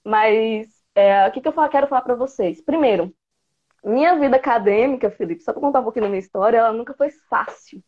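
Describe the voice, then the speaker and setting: A woman's voice: a little high, brisk, phone-quality sound. A woman in her thirties from interior Bahia with a Bahian Brazilian Portuguese accent, chatting playfully as a guest over a video call on an earphone mic. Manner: chatty, playful, gestures a lot. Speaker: guest, biology teacher. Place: small room indoors. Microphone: earphone headset mic on video call.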